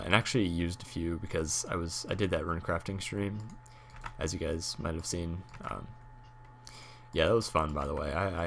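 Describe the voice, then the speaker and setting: Hoarse young man rambling over gameplay. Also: deep voice, a bit bright, a little hiss or hum. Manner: rambling, many fillers and restarts. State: hoarse, losing voice from a cold. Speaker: gaming YouTuber and streamer. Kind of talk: casual talk over gameplay.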